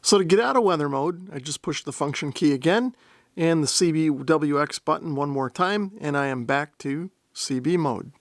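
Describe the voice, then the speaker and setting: A man's voice: a little high, expressive, normal speed, no echo, studio quality. A man narrating a product demonstration in a methodical, step-by-step explanatory way. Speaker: host of a radio gear review channel.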